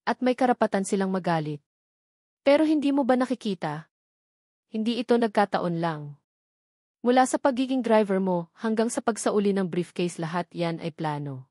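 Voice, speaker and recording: A woman's voice: medium pitch, lively intonation, mellow, dry recording, clean studio sound, lightly acted. A woman with a Filipino accent voicing a wealthy, scheming middle-aged mother in a story, grave and insistent. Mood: gently insistent. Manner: grave, measured, insistent persuasion.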